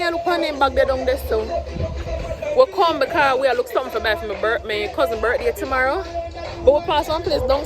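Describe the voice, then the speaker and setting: A young woman from Trinidad chats in a Jamaican patois accent she picked up, switching between patois and standard English. Her voice sits a little high for a woman. Faint music plays under her talk. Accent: Jamaican patois, put on by a Trinidadian. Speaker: vlogger, single mother. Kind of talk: casual vlog chat to camera. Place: home, then in a car and out shopping.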